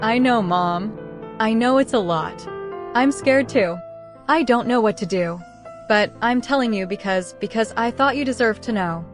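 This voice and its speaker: A frightened pregnant teenage girl, played by a woman, tells and lives her roleplay drama. She stammers with emotion yet keeps some resolve. The voice rings in a heavy echo over soft music. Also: a little high, measured pace, close to an everyday voice. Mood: anxious. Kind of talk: dramatic first-person roleplay story acting and narration. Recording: echoey, long reverb, music underneath.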